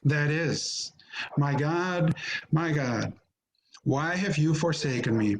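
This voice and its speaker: A man narrating a scripture reading in a measured, solemn manner. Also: a little high, a little hiss or hum. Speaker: narrator in a church Passion reading.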